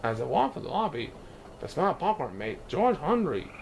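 A man reading a picture book aloud in an expressive way, now and then stumbling over words. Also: a little high, moderate pace, gravelly, a little hiss or hum. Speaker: children's book reader.

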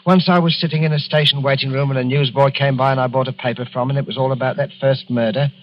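A man's voice: mid-range voice, fairly even intonation, fast, close to an everyday voice. An actor playing a timid, anxious middle-aged salesman in a 1940s radio drama, speaking hesitantly and apologetically. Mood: troubled. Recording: dull vintage radio recording.

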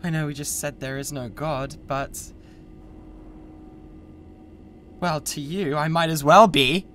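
A woman's voice: sits low, fairly even intonation, normal speed, a little hiss or hum. A British woman playing an unhinged, condescending mad scientist with a god complex in a theatrical ASMR roleplay. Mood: cold, menacing. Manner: theatrical, condescending, swinging between hushed and screaming. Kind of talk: dramatic ASMR roleplay monologue.

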